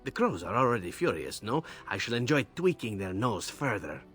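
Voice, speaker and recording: A sly, charming elven assassin from a fantasy game. He speaks with a Spanish accent and a very lively, swooping melody, suave and teasing in every phrase. Faint music plays behind him. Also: fairly low pitch, moderate pace. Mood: amused, agreeable. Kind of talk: video game voice acting.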